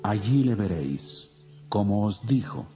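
An actor playing a calm young heavenly messenger, speaking solemn reassurance in a dramatized audio Bible. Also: male voice, on the low side, measured pace. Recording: long hall reverb with music.

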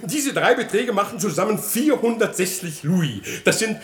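Vain, gullible middle-aged bourgeois for radio comedy; obsequious, ceremonious, voice a little high. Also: male voice, animated, moderate pace. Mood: pedantic, pleased. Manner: obsequious, ceremonious with his noble guest. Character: gullible, status-dazzled rich bourgeois husband, eager to flatter. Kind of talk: 1960 German radio play acting of classic comedy.

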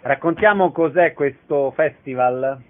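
A man's voice: on the high side, a little slow, narrow, tinny audio. A man hosting a radio show, giving concise announcer-style introductions and asking short questions. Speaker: radio host, interviewer. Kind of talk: radio presenting and interviewing.

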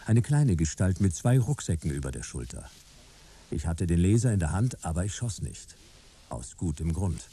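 A man playing a wry, hard-boiled private detective in a sci-fi radio drama, laconic and deadpan. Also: low-pitched, moderate pace, breathy edge, heavily acted. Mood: laconic narration. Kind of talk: radio drama narration and dialogue, noir detective.

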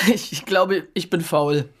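An actor playing a reserved, courteous English writer and tutor in a radio drama, understated and a little hesitant. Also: male voice, high-pitched, steady tone, takes it slowly, fairly bright tone, husky, full character voice. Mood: modest, hesitant. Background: music.

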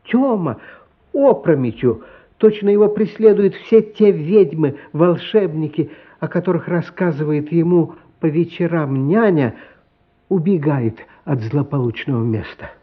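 A man narrating a literary radio play as a solemn, compassionate storyteller, expressive and measured, with dramatic pauses. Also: a little high, slightly rough. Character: solemn, compassionate storyteller of a boy's inner life. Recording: slightly dull, lo-fi radio recording. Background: music.

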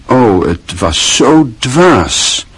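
A man narrating a radio play in a measured, wistful literary style. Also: mid-range voice, very animated, slightly rough, no echo.

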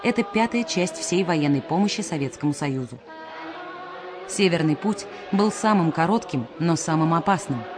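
A woman reading scripted historical narration in a measured, solemn manner. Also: low-pitched, expressive. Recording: music under the voice.

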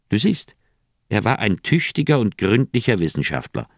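An actor playing an earnest, insistent middle-aged doctor in a radio crime drama, speaking in an emphatic, persuasive way. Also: male voice, rumbling low, dry recording, clean studio sound, heavily acted.

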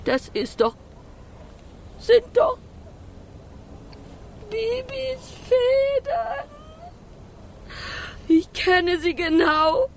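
Fussy hen keeper: very high voice, unhurried, plaintive and scolding, children's radio play, faint ambience. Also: female voice, big pitch swings, a little hiss or hum, heavily acted. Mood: horrified, outraged.

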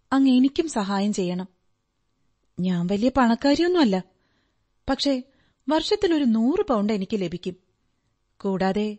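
A woman's voice: a little high, darkish tone. A woman playing an earnest, talkative, polite client who explains her troubles in detail in a radio drama. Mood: earnest, pleading. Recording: radio drama with music behind. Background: music.

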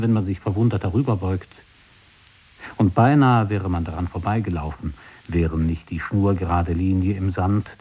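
A man with a low, dark and slightly husky voice reads experimental prose-poetry calmly and deliberately. He is recorded in a radio studio. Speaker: speaker in an experimental radio play. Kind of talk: scripted poetic recitation.

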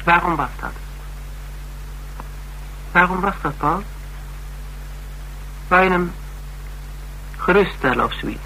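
An actor playing a direct, inquisitive Dutch detective in a radio play, with a drily teasing, businesslike manner. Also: male voice, high-pitched, expressive, slow pace, pauses often, sharp tone, a little hiss or hum, exaggerated voice, rough recording. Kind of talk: naturalistic radio play dialogue.

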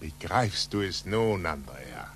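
An actor playing a fervent, stubborn scientist and mentor in a 1940s German radio drama, with theatrical, emphatic delivery. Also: male voice, low-pitched, expressive, slow pace, gravelly, a little hiss or hum, heavily acted. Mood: patient, intent. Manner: theatrical, emphatic, swinging from hushed to exultant.

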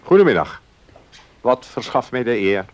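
An actor playing a genial, curious well-to-do gentleman in a Dutch radio play, speaking in a measured, polite way. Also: medium pitch, sing-song, highs cut off, lightly acted. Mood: curious, probing.

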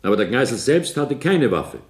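An actor playing a stern, authoritative presiding judge in a radio play, questioning formally. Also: male voice, mid-range voice, sing-song, moderate pace. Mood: probing. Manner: formal, interrogating. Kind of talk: German radio play courtroom acting. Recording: echoey 1970s radio drama studio.

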